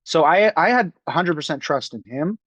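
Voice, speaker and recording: Young man, director guest, halting delivery, dry dull recording. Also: a little high, animated, fairly bright tone, breathy edge, no echo. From New York. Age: young adult. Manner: halting, many restarts and fillers. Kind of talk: casual interview talk.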